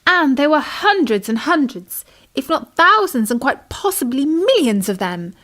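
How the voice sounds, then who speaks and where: A British woman with a fairly high voice tells a children's story. Her reading is expressive, in the style of a bedtime audiobook. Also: unhurried.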